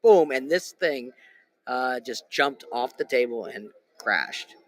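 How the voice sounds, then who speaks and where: Bright-voiced rambling male host. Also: medium pitch, slow pace. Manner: animated, rambling with many restarts and fillers. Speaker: podcast host. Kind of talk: casual chat.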